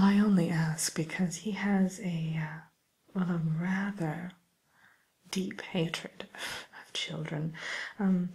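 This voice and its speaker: A softly whispering female matchmaker for villains, played by a woman in an ASMR roleplay. She takes her time, keeping a slightly low, breathy voice. Her manner is discreet and courteous, with hesitant tact and a gently dry edge.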